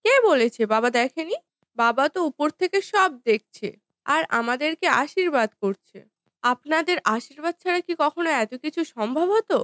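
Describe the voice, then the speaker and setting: A woman playing a dutiful, gentle young daughter-in-law in a cartoon family drama, with a deferential, soothing manner. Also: high voice, animated, normal speed, dry recording, studio quality. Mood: consoling.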